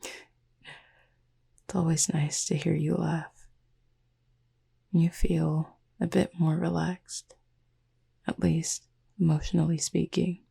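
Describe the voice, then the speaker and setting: A woman playing a gentle, composed Cupid roommate in a sleep-aid ASMR roleplay, speaking softly and reassuringly in a breathy, creaky near-whisper with formal wording. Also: low-pitched, little pitch movement, slow pace, pauses often, exaggerated voice. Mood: calm, reassuring.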